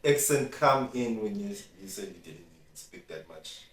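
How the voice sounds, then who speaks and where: A man's voice: on the low side, animated, normal speed. A man interviewing someone in a casual, teasing chat. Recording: echoey room, distant microphone.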